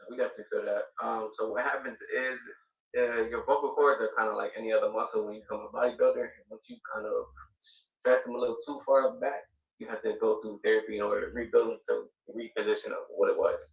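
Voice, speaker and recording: A man's voice: lowish voice, steady tone, fast, vocal fry. A man appearing as a DJ guest on a live stream, chatting in a laid-back way, swearing and hyping up the show. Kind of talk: casual stream chat. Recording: distant, roomy, dull-sounding mic.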